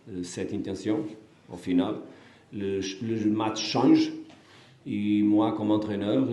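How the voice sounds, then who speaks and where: Portuguese-accented man, low and mellow. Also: reverberant. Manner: measured, halting non-native French with many fillers and restarts. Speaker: football coach. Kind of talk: post-match press conference.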